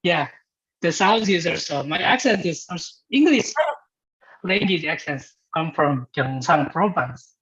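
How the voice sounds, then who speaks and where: Korean-accented male host, breathy voice, friendly manner, lo-fi call sound. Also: a little high, animated, a little slow, fairly bright tone. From Changwon, South Korea. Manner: friendly, enthusiastic, speaks in short phrases. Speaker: host, moderator. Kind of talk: casual chat on a video call.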